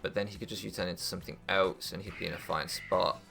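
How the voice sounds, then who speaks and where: Young guy gaming youtuber, deepish bright voice, talking through his moves, faint music behind. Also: fairly even intonation, fast talker, vocal fry. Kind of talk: casual strategy game commentary, thinking aloud.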